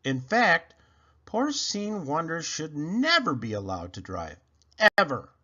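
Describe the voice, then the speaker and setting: A man voicing a doting, affectionate middle-aged gentleman in an effusive, theatrical storybook style. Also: on the high side, wildly swooping pitch, slow pace, muffled recording, pitched above the performer's own voice, heavily acted. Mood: adoring, earnest.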